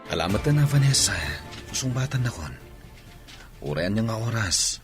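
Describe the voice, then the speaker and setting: A man's voice: lowish voice, animated, normal speed, over music, natural voice. A man playing an ardent, persistent suitor in a radio comedy drama. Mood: pleading. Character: ardent, persistent male suitor proposing marriage.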